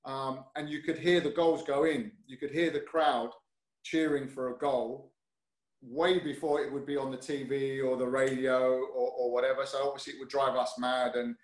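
London-accented male guest, easygoing and hesitant, mid-pitched, echoey room sound. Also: little pitch movement, moderate pace. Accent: London English. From North London, UK. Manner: hesitant, many fillers and restarts, easygoing. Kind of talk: casual interview chat. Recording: roomy echo.

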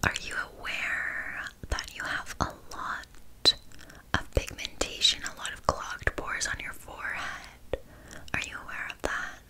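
A woman's voice: unhurried, close to an everyday voice, low-quality recording. A woman voicing a blunt, vain, chatty town witch in a whispered ASMR roleplay, casually condescending. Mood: politely judgmental.

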